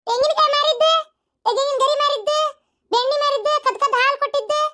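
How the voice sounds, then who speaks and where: Lady doing cranky old village mother-in-law for a cartoon, super high sharp voice, grumbles and curses, north karnataka accent. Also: quick pace. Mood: defensive. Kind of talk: village comedy cartoon dialogue.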